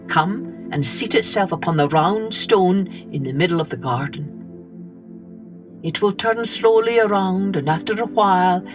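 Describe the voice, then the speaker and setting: A woman voicing a kindly little old man in a children's storybook, giving cautioning, measured guidance. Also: on the low side, natural voice.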